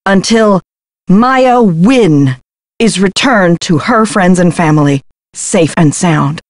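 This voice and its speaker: An actress playing a pompous, controlling publicity agent in a video game, speaking in a grandiose, theatrical manner. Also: female voice, fairly low pitch, expressive, slow pace, no room sound, clean studio sound.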